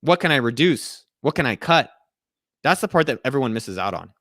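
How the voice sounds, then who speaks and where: A man in his thirties hosting a casual live Q&A from a small room, giving a profane, energetic pep talk to his viewers. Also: medium pitch, a little fast, no echo, studio quality. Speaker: host answering viewer questions. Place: small indoor room at home.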